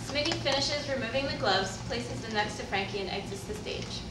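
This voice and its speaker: A woman's voice: a little high, a little fast. A woman reading stage directions as scripted narration at a staged play reading. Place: live staged reading in a roomy indoor space. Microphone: distant video camera. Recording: echoey, noisy room, distant camera microphone.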